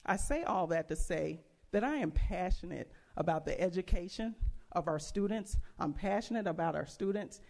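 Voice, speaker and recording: A woman delivering a prepared campaign speech as a school board candidate, speaking emphatically in short, deliberate phrases. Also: fairly low pitch, animated, creaky, a little mellow.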